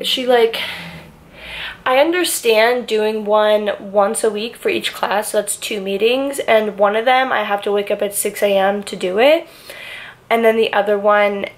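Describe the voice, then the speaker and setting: A young woman with a raspy voice chatting in a sleepy, low-key way for her home vlog, filmed on a phone at arm's length in her bedroom. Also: poor audio. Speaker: vlogger. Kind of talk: casual vlog chat. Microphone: phone camera held at arm's length.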